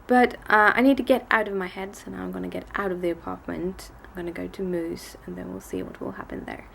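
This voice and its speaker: A woman's voice: fairly even intonation, quick pace, gravelly, fairly bright tone, slight background noise. A woman in her thirties with a bad cold, chatting casually and a bit tiredly to the camera from her living room at home. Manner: rambling, tired, low-energy talk with restarts. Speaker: daily vlogger. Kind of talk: casual vlog chat. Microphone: camera on a desk in front of her.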